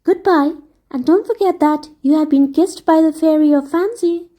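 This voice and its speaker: A woman's voice: sits high, moderate pace, voice pushed up, natural voice. A woman doing a merry, playful fairy lady. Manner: bright, light and laughing.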